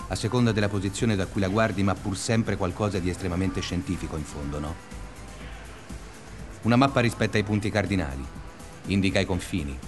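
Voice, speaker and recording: Low-voiced, pedantic male schoolteacher, confessing. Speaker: narrator. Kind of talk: dreamlike radio drama monologue.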